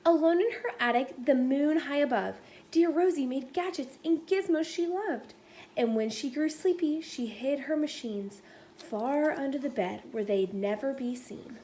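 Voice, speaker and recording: A woman reading a rhyming children's story aloud in an expressive narrating style. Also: high voice, measured pace. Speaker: storybook reader. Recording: faint background noise.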